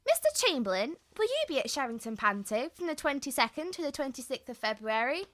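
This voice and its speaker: A woman's voice: sits high, sing-song, fast talker, fairly bright tone. A woman playing a sweet, polite young princess, earnest, in a pantomime. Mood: sweet, hopeful. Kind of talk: amateur pantomime acting.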